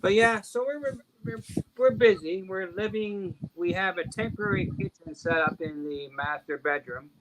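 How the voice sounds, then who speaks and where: A man's voice: sits high, normal speed. Older man, chatty guest, matter-of-fact, noisy home stream audio. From Canada. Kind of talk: casual chat.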